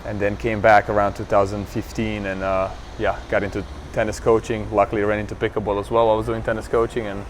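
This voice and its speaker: A man's voice: lowish voice, level tone, fast talker, few pauses. A man in his thirties from Romania, answering questions in a casual interview in an easygoing, modest way. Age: 30s. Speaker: interview guest, professional pickleball player. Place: outdoors at a tournament venue. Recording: outdoor urban setting with faint background noise.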